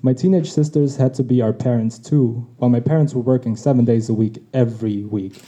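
A man whose mother tongue is Tagalog, reading a personal essay on his podcast in a reflective, measured way. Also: medium pitch, dark tone. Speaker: podcast host. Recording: dull lo-fi recording.

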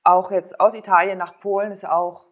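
A woman's voice: mid-range voice, little pitch movement, moderate pace. A woman in her thirties talking informally and candidly as an interview guest, thinking aloud as she tells personal stories. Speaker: interview guest, former athlete and sports psychologist. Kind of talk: casual personal storytelling in an interview.

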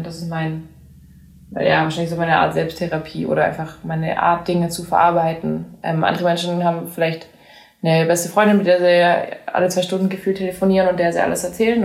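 A young woman, a singer-songwriter, chatting in an interview, lively and full of fillers and restarts. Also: fairly low pitch, gravelly, reverberant. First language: German. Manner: animated, many fillers and restarts, laughs easily. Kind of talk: casual interview chat.

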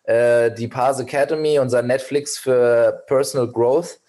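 A young man, a founder being interviewed. His voice sits at an ordinary pitch while he gives long, winding, earnest answers. He is full of fillers and quick restarts. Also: fairly even intonation, mellow. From Germany. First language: Persian. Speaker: startup founder, guest. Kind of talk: podcast interview.

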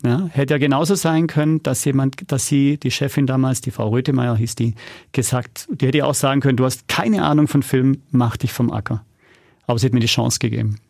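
Male interview guest, producer type. Gravelly edge, informal storyteller, dry studio-like sound. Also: mid-range voice, steady tone, brisk, a little mellow, no room sound. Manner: informal, anecdotal. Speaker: interview guest, audio drama and documentary producer.

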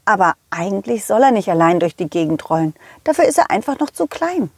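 A woman's voice: medium pitch, sing-song, fairly quick, slightly rough, no room sound, pitched below the performer's own voice. A woman voicing a confident, loyal male dog who tells his adventures playfully in a children's audio play. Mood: helpful, caring. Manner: playful, addresses the children directly.

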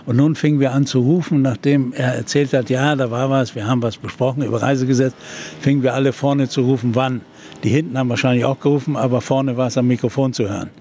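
Older male journalist, halting storyteller. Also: mid-range voice, steady tone, a little mellow, a little hiss or hum. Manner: hesitant storytelling with many fillers. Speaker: journalist and TV presenter. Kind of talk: recounting a historical event in an interview.

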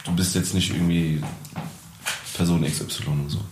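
A man's voice: sits low, flat delivery, normal speed. A man who is a musician guest, talking in a casual, reflective way and laughing easily. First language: German. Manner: reflective, laughs easily, mixes in English words. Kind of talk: casual interview chat. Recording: echoey room, faint noise.

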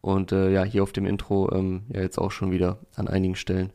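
A man hosting a casual music review podcast, a little hoarse, chatty, with frequent fillers. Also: deep voice, brisk. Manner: relaxed, talkative, with frequent fillers. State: slightly hoarse. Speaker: podcast host.

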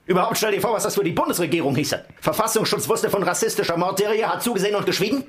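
A man's voice: high-pitched, rapid-fire, breathy edge. An actor playing an evasive, self-justifying male intelligence official in a political radio drama, conspiratorial in manner. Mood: agitated, insistent.

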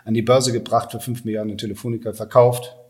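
A man's voice: mid-range voice, level tone, quick pace, darkish tone. A man, talking casually and matter-of-factly as an interview guest. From Hamburg, Germany. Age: 40s. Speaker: podcast guest, venture capitalist.

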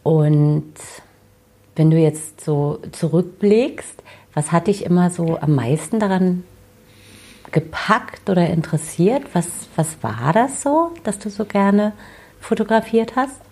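Woman w a cold asking slow questions, husky voice, pitch bouncing all over. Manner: slow, lively questioning with many soft backchannel hums. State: has a cold. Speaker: interviewer. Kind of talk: casual interview.

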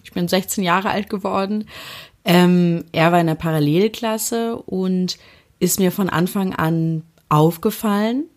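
Candid young woman with a lowish voice. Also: a little slow, darkish tone. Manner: candid, amused, with fillers. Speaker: interviewee. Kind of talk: casual storytelling.

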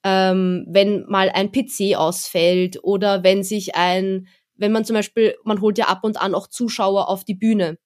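A young woman speaking in a relaxed, chatty way as a guest, with plenty of small fillers. Her pitch sits in an ordinary middle range for a woman. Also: unhurried. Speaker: guest, event presenter. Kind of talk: casual interview talk.

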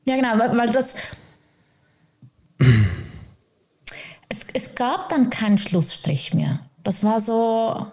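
A woman's voice: on the high side, lively intonation, slow, pauses often, a little mellow. A woman speaking openly and reflectively as an interview guest, with a self-mocking edge. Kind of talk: candid personal interview talk.

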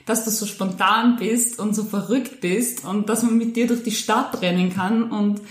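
A woman's voice: mid-range voice, fairly even intonation, a little slow, echoey room. A woman, co-hosting a podcast, talking in long rambling sentences. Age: 20s. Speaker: podcast co-host. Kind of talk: casual chat.

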